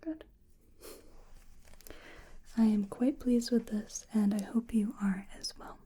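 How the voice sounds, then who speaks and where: A woman whispering gently and slowly in an ASMR roleplay, calmly listing facial measurements as she takes them. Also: on the high side. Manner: slow, gentle, soft-spoken whispering. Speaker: ASMR artist.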